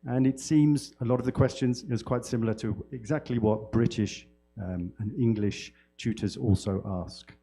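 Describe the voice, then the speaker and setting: A man from the United Kingdom giving a university lecture as a guest speaker, with a loud voice and a friendly, halting delivery full of fillers and restarts. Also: mid-range voice, fairly even intonation, gravelly, soft background music. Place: lecture hall. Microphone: handheld mic.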